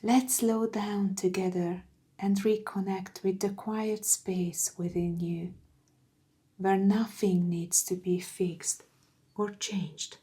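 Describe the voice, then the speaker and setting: A woman guiding a meditation in a soft, soothing, gentle voice with breathy phrase endings. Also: mid-range voice, flat delivery. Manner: soothing, gentle, unhurried with long pauses. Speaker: meditation guide. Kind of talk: guided meditation narration.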